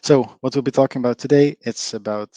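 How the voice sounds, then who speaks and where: A man's voice: medium pitch, fast talker, warm tone, no room sound. A middle-aged man from Belgium with a Flemish Belgian accent, giving a live online presentation in a chatty way with frequent fillers, often drawing in his audience. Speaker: webinar presenter, company co-founder.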